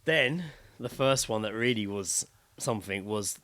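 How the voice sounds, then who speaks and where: Bright-voiced man swapping stories. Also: on the low side, a little slow. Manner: sweary, excitable, blokey storytelling. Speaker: podcast co-host. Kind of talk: casual chat, animated storytelling.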